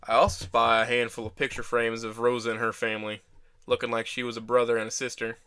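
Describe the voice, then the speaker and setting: A man voicing an injured, self-deprecating teenage boy who narrates his own story in a measured, wry, deadpan way for an audio drama. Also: mid-range voice, clear, resonant tone, lightly acted. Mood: descriptive. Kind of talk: first-person scripted narration.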